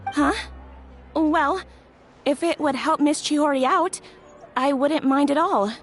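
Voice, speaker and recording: A woman voicing a gentle, hesitant young customer in a video game. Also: sits high, slow, soft background music.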